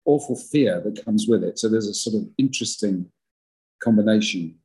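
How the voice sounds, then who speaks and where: A man's voice: fairly low pitch, moderate pace, warm tone, slightly rough, low-quality recording. An older man, an actor, talking in a podcast interview, rambling and self-deprecating with many restarts and a big voice. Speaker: actor guest.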